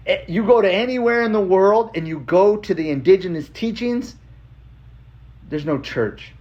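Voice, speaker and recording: A man's voice: high-pitched, expressive, normal speed. Blunt American man, dull muffled recording. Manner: blunt, emphatic, repeating short phrases. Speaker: storyteller sharing his own life. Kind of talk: casual personal storytelling.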